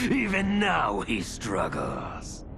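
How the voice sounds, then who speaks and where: A man's voice: medium pitch, huge pitch swings, noisy background, exaggerated voice. An actor playing a sadistic male chaos lord who savors bloodshed, with mocking laughter. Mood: gloating. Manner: relishing, slow, mocking laughter. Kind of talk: video game cutscene acting.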